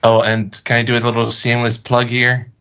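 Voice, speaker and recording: A man's voice: medium pitch, monotone, fairly quick, sharp tone, smooth. A man chatting casually as a guest performer, informal and self-deprecating. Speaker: guest voice performer and graphic artist. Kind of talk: casual chat. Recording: dull, muffled call audio.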